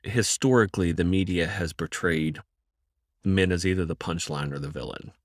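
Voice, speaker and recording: A middle-aged man, a counselor and evangelist, answering in a podcast interview in a measured, thoughtful way and repeating words as he thinks. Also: low voice, no echo, studio quality.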